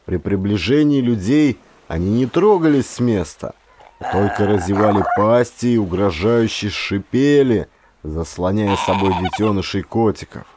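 A man narrating a historical adventure audiobook as a scripted, expressive dramatic storytelling. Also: fairly low pitch, normal speed, very noisy. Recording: clear ambient sound effects behind voice. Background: ambience sound effects.

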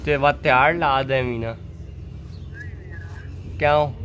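A man's voice: medium pitch, steady tone, takes it slowly, a bit bright, lo-fi sound. A man in his twenties with a Pakistani accent, chatting casually to his phone as a vlogger. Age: 20s. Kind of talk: casual vlog chat. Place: outdoors at a block-walled building site and lane. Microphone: phone at arm's length. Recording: clear outdoor background noise.

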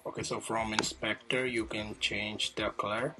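A man presenting a casual coding tutorial, thinking aloud in a halting way as he demonstrates each step. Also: on the low side, level tone. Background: music clearly playing behind the voice.

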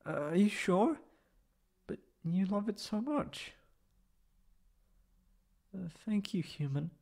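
A man's voice: high-pitched, big pitch swings, slow pace, vocal fry, darkish tone, full character voice. A man voicing a proud but insecure, emotional tsundere catboy in an ASMR roleplay, with hesitant, stammering speech. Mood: hesitant, wistful.

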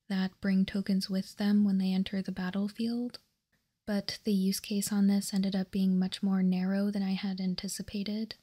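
A woman whispering softly and breathily in an ASMR style, calm and unhurried, as she hosts a card walkthrough. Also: mid-range voice. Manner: calm, unhurried, reading out and commenting. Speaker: host of a card game ASMR channel.

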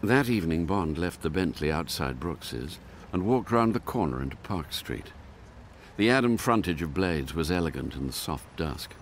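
An actor as a measured, literary narrator telling a spy story in a scripted radio drama. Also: male voice, low voice, animated, slight background noise.

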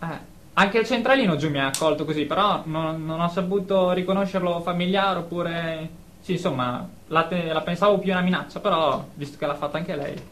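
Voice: medium pitch, a little fast, fairly bright tone, lightly acted. An actor playing a sixteen-year-old delusional boy in a radio drama, earnest, hesitant and defensively stubborn. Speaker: therapy patient character.

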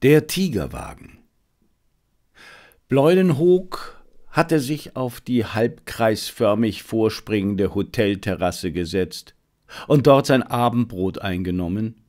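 A man narrating a detective story as an audiobook, expressive and deliberate in his storytelling. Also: lowish voice.

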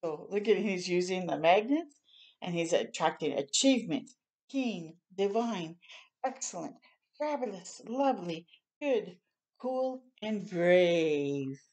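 A woman's voice: on the low side, lively intonation, takes it slowly, darkish tone. An older woman doing a children's storytime, reading a picture book aloud and adding encouraging, chatty asides. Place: small room at home, indoors.

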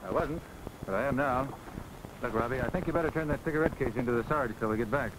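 Man playing a quick-talking American GI. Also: medium pitch, natural voice. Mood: insistent. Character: stubborn, loyal male American infantry buddy. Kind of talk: 1940s film acting. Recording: noisy 1940s film soundtrack.

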